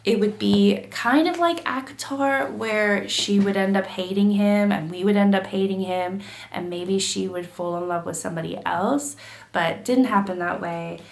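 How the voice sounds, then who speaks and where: A woman book vlogger getting over a cold, her throat gravelly, chatting casually to camera with lots of fillers and restarts. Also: mid-range voice, moderate pace, reverberant. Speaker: book YouTuber.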